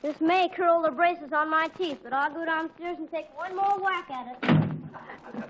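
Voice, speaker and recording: Kid brother, ten: very high voice, smart-aleck, theatrical teasing, 1940s radio comedy. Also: female voice, measured pace, background noise. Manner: mock-dramatic, teasing. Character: wisecracking, theatrical ten-year-old brother. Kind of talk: 1940s radio sitcom acting.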